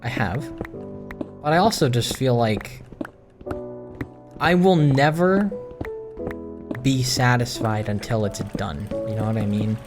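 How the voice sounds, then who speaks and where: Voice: mid-range voice, slow pace, long pauses, music in the background. A gaming video host giving a casual, introspective monologue, rambling and reflective, often circling back to restate a point.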